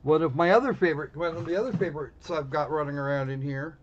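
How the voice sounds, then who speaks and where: A man hosting a casual game live stream, talking in a rambling, halting way and repeating himself as he goes. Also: on the high side, a little hiss or hum. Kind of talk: casual live-stream commentary while setting up a visual novel. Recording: dull lo-fi mic with music behind. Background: background music.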